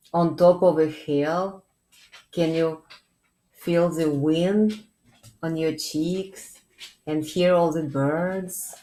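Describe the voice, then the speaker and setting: A middle-aged woman reading a picture book aloud for young children, gently and expressively, pausing to ask questions. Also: fairly low pitch, rough recording. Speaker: children's librarian. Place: small indoor room.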